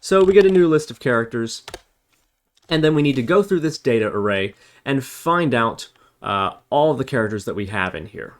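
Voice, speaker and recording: A man's voice: mid-range voice, expressive, moderate pace. A man narrating an informal screen-recorded coding tutorial as the instructor, explaining each step and thinking aloud as he works.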